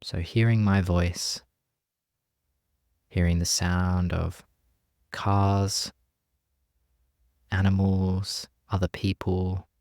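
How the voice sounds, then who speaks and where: A man with a deep voice guides a meditation at a very slow pace. He is gentle and unhurried, letting each instruction settle with long pauses. The sound is dry and close, without room reflections. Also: level tone, dry recording. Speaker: meditation teacher.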